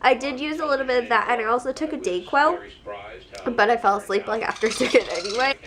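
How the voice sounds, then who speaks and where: A woman's voice: on the high side, fast talker, crowd noise. A young woman vlogging at home while sick and congested, talking casually and rambling with frequent laughs. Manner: rambling, chatty, laughs often. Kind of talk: casual chat to camera.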